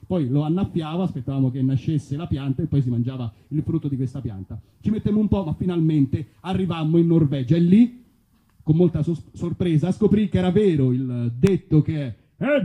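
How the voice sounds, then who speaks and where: A man from Italy performing live stand-up, telling stories in a hesitant, deadpan way on a muffled stage recording. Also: on the high side. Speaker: stand-up comedian. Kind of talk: live stand-up comedy monologue. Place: festival stage outdoors among olive trees.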